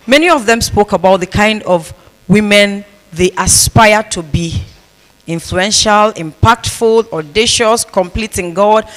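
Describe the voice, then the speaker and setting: Woman, pastor at a conference, storytelling with humor, repeats phrases, mid-pitched voice, long hall reverb. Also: fairly bright tone. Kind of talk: conference keynote talk.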